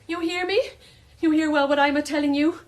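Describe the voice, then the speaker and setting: An actress playing a teasing housewife who talks like an old-fashioned country woman in a radio drama, in deliberate archaic rural dialect. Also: high-pitched, fairly even intonation, a little hiss or hum, lightly acted. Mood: sharp, possessive.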